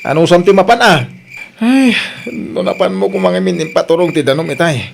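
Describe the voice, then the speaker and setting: Gravelly grumbling Ilocano Filipino husband played by an actor. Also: male voice, a little high, very animated, brisk, a little hiss or hum. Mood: pained, complaining. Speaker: radio drama actor. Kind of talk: radio drama acting in Ilocano.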